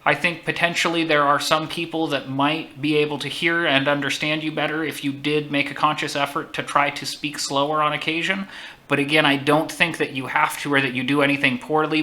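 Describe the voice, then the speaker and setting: A man hosting a casual chat, speaking in a measured, deliberate way. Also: a little high, fairly even intonation, sharp tone. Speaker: host.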